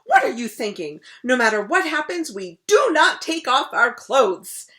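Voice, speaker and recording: A fussy, bossy storybook king who shouts his demands in a raised, bright voice full of lively jumps in pitch, as a woman puts him on. He sounds strict and demanding. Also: unhurried, heavily acted. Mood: scolding.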